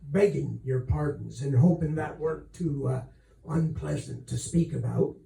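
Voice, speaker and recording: A man's voice: fairly high pitch, a little slow, gravelly, warm tone, roomy sound, recorded from a distance, slight background noise, pitched below the performer's own voice, low-quality recording. A man voicing a whiskered sea captain from a storybook, with rustic, courteous nautical speech and a bellowing temper. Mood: gentle, apologetic. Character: bellowing, bewhiskered sea captain, gentle and apologetic between shouts.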